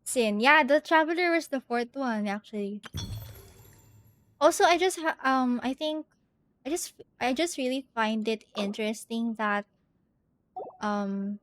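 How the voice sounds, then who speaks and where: A woman's voice: fairly high pitch, expressive, measured pace, pauses often, no room sound. A woman chatting casually on a game livestream, thinking aloud in a hesitant, giggly way, with game music behind her. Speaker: game streamer. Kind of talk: casual livestream chat while playing.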